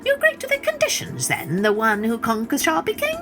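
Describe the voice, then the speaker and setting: A woman's voice: high voice, huge pitch swings, brisk, over music, pitched above the performer's own voice. A woman voicing a tiny, defiant gnat, cheeky and indignant, in a storybook tale.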